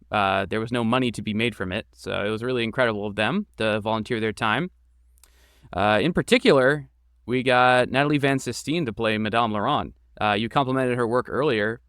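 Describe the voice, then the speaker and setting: Man, smooth clear voice, mid pitch, casual interview guest, explanatory, dry recording. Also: fairly bright tone, dry recording. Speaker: podcast guest, game creator and voice actor.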